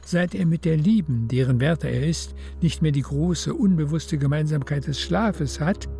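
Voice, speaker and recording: An actor as the calm narrator of a literary radio play, hushed and measured in his telling. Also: a little high, creaky, faint music behind. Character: calm literary storyteller of a love story.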